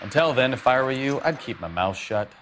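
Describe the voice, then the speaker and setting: Man playing a gruff, sarcastic police detective. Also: medium pitch, lively intonation, fairly quick, background noise, lightly acted. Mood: smug, cold. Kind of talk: video game cutscene acting.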